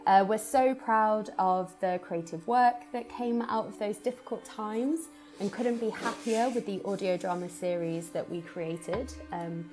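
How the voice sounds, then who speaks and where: A woman's voice: medium pitch, darkish tone. A woman hosting an informal, upbeat podcast conversation about theatre as its producer. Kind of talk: podcast announcement and interview.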